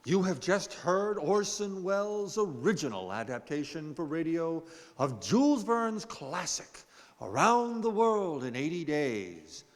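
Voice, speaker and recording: A man playing a formal, courteous 1930s radio announcer and gentleman, speaking in a measured way. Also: a little high, very animated. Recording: noisy echoing hall with audience.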